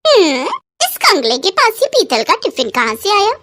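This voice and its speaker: Woman doing a high, sly, nosy neighbour. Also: wildly swooping pitch, moderate pace, sharp tone, no room sound, clean studio sound, exaggerated voice. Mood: suspicious. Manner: scheming, exclaiming.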